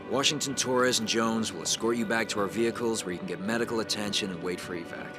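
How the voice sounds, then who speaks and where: A man's voice: fairly low pitch, quick pace, music in the background, close to an everyday voice. An actor playing a weary, determined male resistance fighter in a video game.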